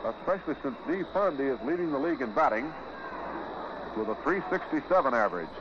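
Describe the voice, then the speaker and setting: An American man doing 1950s radio baseball play-by-play in short, measured, clipped phrases. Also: mid-range voice, dull audio. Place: ballpark radio broadcast. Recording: noisy, band-limited 1950s radio broadcast.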